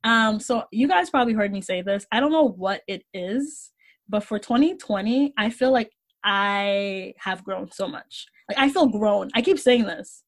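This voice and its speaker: A woman in her twenties co-hosting a podcast, relaxed and affirming in casual chat. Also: mid-range voice, measured pace. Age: 20s. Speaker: podcast co-host.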